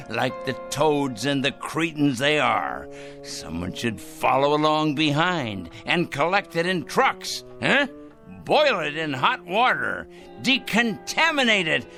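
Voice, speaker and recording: Gleeful, theatrical paranoid crank, wildly swooping. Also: male voice, a little high, vocal fry, sharp tone, exaggerated voice. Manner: theatrical, gloating, rambling to himself and his pet. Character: gleeful paranoid male crank plotting a moral purge. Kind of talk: radio drama acting.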